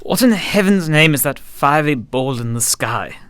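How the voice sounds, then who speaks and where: Loyal older butler, male actor, formal and wry, higher animated voice, dry close sound, radio drama. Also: moderate pace, smooth, no echo. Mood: wry, weary.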